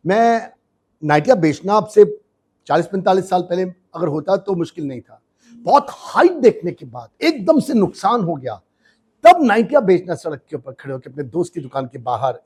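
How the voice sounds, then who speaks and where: A man, a business coach telling his life story in an interview, switching between Hindi and English with emphatic, animated delivery. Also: on the high side, breathy edge. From India. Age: 60s. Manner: animated, emphatic, mixes languages.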